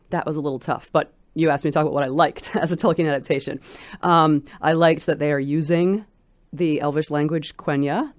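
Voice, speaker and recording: A woman scholar giving considered commentary as an interview guest, speaking in an articulate, measured and emphatic way. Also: deep voice, a little mellow, no room sound.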